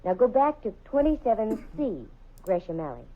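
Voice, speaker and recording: A confident female private eye in a vintage radio drama, brisk and wisecracking. Her voice is mid-pitched and sure of itself. The sound is dull and muffled, like an old broadcast. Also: very animated. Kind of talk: 1950s radio drama acting.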